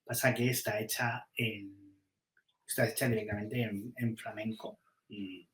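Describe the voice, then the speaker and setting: Male publisher, fairly low voice, unhurried casual chat full of restarts, echoey room sound. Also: fairly even intonation, fairly bright tone. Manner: thinking aloud, many restarts and hesitations. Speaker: comics publisher.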